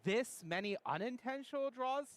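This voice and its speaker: A man giving live broadcast analysis of a tournament, emphatic and deliberate in his storytelling. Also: extremely high pitch, animated.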